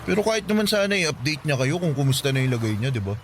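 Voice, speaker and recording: A man's voice: a little high, big pitch swings, fast talker, a little hiss or hum. An actor playing a mild, weary, earnest young man in a Filipino radio drama. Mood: curious. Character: weary, mild-tempered young man, caring poor friend.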